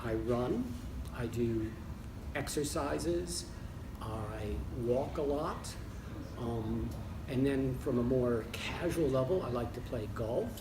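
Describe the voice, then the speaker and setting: A semi-retired American man in his sixties, answering interview questions in a relaxed way with frequent pauses and restarts. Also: mid-range voice. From United States. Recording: roomy, distant mic with background noise.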